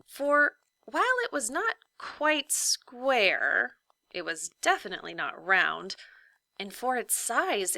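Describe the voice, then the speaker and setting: A woman reading a children's story aloud like a teacher, with deliberate, emphatic phrasing and dramatic hushes. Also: medium pitch, vocal fry, dry recording.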